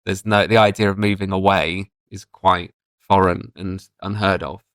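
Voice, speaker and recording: A man's voice: low-pitched, fairly even intonation, moderate pace, no room sound, studio quality. A man with a British accent, talking as a podcast guest in a reflective, explanatory, conversational way. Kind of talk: casual discussion.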